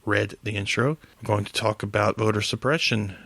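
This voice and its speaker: A man's voice: lowish voice, fairly even intonation, brisk, creaky, fairly bright tone, no echo. A man hosting a podcast solo, chatting casually in a rambling, hesitant, self-deprecating way with some swearing. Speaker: podcast host.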